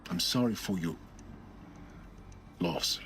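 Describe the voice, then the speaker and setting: An actor playing a coolly calculating, aloof mentor in a video game, with measured, formal speech. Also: male voice, sits low, fairly bright tone, slight background noise. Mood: cool, detached. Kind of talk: cinematic video game acting.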